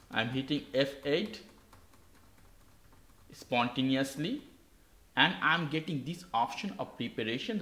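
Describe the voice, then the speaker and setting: A man's voice: mid-range voice, a bit bright. Guy explaining a laptop reset step by step, bouncy up and down voice, kinda echoey room. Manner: non-native English, simple phrasing, patient guiding. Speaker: tech tutorial host. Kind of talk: step-by-step tutorial. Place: indoor desk.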